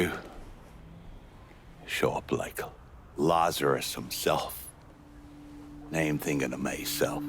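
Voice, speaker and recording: A man voicing a hard-bitten older gang boss in a video game cutscene, with a slow, bitter drawl. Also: very deep voice.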